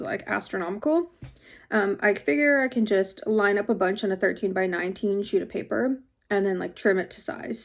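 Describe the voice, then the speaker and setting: A sniffly woman with a mild cold talks loosely to camera about her small craft shop. She rambles, filling gaps with likes and ums, in an easygoing vlog chat from her home studio. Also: mid-range voice. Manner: rambling, filler-heavy, many likes and ums. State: slight head cold, sniffling. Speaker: small craft business owner.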